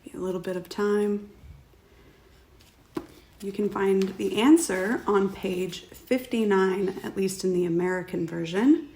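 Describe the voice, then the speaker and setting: A woman's voice: mid-range voice, unhurried, dark tone, low-quality recording. A woman speaks as an author presenting trivia to viewers. Her voice sits in an ordinary middle range, and her delivery is a prepared, explanatory talk.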